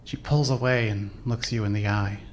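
A man's voice: fairly low pitch, steady tone, moderate pace, a little hiss or hum, close to an everyday voice. A man reading scripted second-person narration for a fan-made audio drama.

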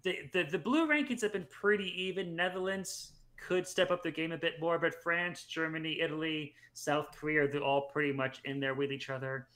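A man's voice: on the high side, steady tone, normal speed, hardly any pauses, fairly bright tone. A man in his thirties on a headset, talking sports at home in a casual, analytical way, running through results at length. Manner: talkative, analytical, restarts mid-phrase. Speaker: podcast guest.

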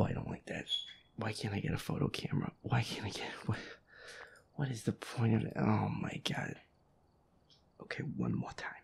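A young man giving casual gameplay commentary on a horror game, swearing and muttering jitterily in a hushed, breathy voice. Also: mid-range voice. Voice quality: breathy, hushed, often whispering. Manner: profane, jittery, muttering to himself and at the game's ghost. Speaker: gamer playing a horror game.

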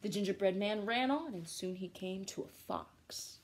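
A young woman reading a children's storybook aloud at home in an expressive, playful way. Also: medium pitch, moderate pace. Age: young adult. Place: small living room at home.

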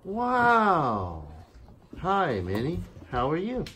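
A man's voice: on the high side, huge pitch swings, very slow, sharp tone. A man, a father, talking playfully in short simple phrases to a child during casual puppet play, recorded on a phone at home. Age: adult. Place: child's bedroom. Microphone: handheld phone. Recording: noisy home phone video, handling clicks.

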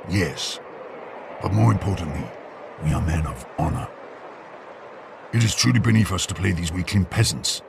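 An actor playing a haughty, menacing knight captain with a gravelly voice, pompously addressing his men in a comic audio drama. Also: full character voice. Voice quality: deep, gravelly. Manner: pompous, measured. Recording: noisy ambience behind the voice.

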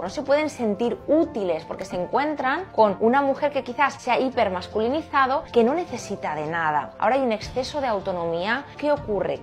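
A woman calmly explaining relationship advice to camera in a played clip. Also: a little high.

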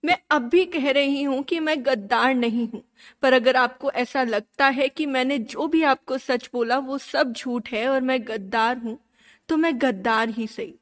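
A woman playing an earnest, gentle young princess steadfast in her devotion, in a radio drama. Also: high voice, measured pace. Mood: resigned, steadfast. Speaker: actor in a student radio drama. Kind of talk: radio drama acting in Hindi.

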